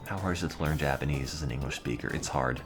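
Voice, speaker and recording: A man's voice: very deep voice, quick pace. A young adult man streaming and answering his chat in a dry, rambling, offhand way. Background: music playing.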